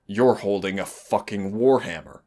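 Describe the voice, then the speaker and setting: A man's voice: on the low side, moderate pace, mellow, lightly acted. A man playing a cocky, sardonic demon mentor in a scripted roleplay audio, teasing and exasperated yet fond. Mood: panicked, indignant.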